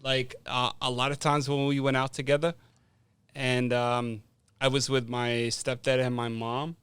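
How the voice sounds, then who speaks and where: A man's voice: mid-range voice, little pitch movement, a little slow, fairly bright tone, clear, resonant tone, no echo. A man speaking as a podcast guest, telling personal stories casually in an informal, sweary way and often checking in with "right?".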